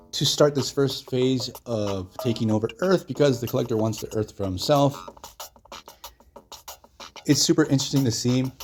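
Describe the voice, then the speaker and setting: A hoarse man hosting his own channel, retelling a comic story in a loose, chatty way with lots of fillers. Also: mid-range voice, darkish tone. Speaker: comic book channel host. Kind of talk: casual solo video commentary.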